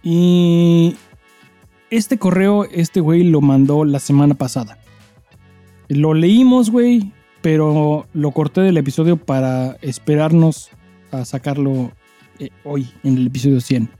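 A Mexican man with a fairly high, mellow voice co-hosts a casual podcast. He talks in a slangy, crude, joking way, recorded fairly dry with music underneath. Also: measured pace, dry recording. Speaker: podcast co-host. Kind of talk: casual chat and listener mail reading.

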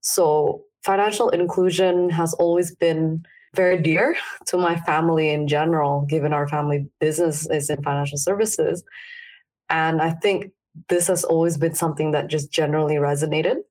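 Low-pitched woman, earnest and explanatory. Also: fairly even intonation, normal speed, a little mellow. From Indonesia. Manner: earnest, explains at length with fillers. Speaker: startup founder guest. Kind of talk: casual interview answers.

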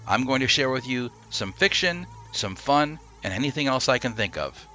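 A man hosting a podcast, delivering a scripted monologue and review with emphatic, deliberate delivery. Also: mid-range voice, sing-song, bright, forceful tone, soft background music. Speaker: podcast host. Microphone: close, dry podcast microphone.

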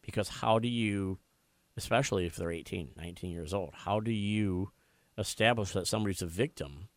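A man's voice: deep voice, normal speed, dry recording. An American man hosting a live talk radio show, discussing issues and interviewing guests. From United States.

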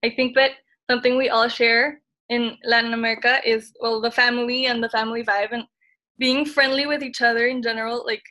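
A woman's voice: a little high, flat delivery, brisk, sharp tone. A teenage girl from El Salvador hosting a casual podcast chat, chatty and upbeat with many fillers. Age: teens. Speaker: podcast host.

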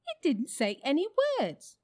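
Shrill, excitable storybook jackdaw. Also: female voice, unhurried. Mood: incredulous. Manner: excited, exclaiming, repeats itself. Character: excitable jackdaw, shrill and chattering talking bird. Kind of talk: storybook character voice.

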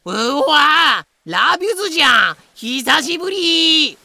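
An actor in a fan audio drama playing a brash pirate captain with rough, swaggering speech. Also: high-pitched, sing-song, slow, bright, forceful tone, no room sound, heavily acted. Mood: chummy. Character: brash male pirate captain, menacing thug turned chummy.

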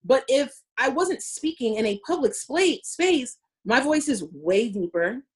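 A woman in her forties talking emphatically in a casual podcast discussion, telling stories with lively emphasis. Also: high voice, measured pace. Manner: animated, emphatic storytelling.

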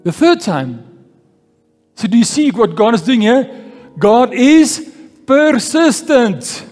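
Very high-voiced slow preaching man. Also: very animated, darkish tone. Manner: call-and-response preaching, repeats key phrases. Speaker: pastor. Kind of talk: sermon with scripture reading. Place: church hall. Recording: long church-hall reverb with faint music.

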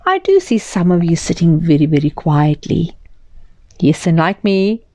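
Slow, chatty woman reading to kids. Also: fairly low pitch, no room sound, studio quality. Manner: lively, chatty, talks to young listeners, whispered asides. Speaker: children's storyteller. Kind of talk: reading a picture book aloud to children.

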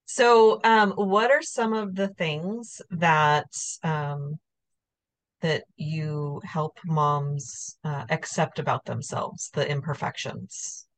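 A woman's voice: low-pitched, drawn-out words. A woman hosting a podcast, chatting casually with a guest, with frequent fillers and restarts. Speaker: podcast host. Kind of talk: casual chat.

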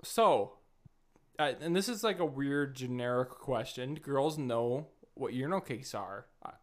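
A young man co-hosting a podcast in casual chat, halting and often repeating his phrases. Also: medium pitch, animated. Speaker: podcast co-host.

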